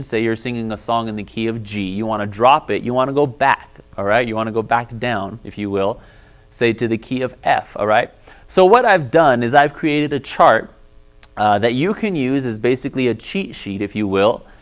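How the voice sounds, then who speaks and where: A man with a lower, bass-baritone voice explains music theory step by step, like a patient church music teacher. He keeps a friendly, mid-pitched tone and checks in often with "all right?". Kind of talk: instructional video lesson.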